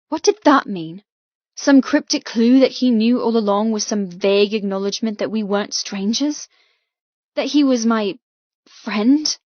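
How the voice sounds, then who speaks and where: A woman narrates a first-person novel in a medium-pitched voice. Her delivery is measured and dramatic. The sound is very dry and close, with slightly muffled highs. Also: darkish tone, no room sound. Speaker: audiobook narrator.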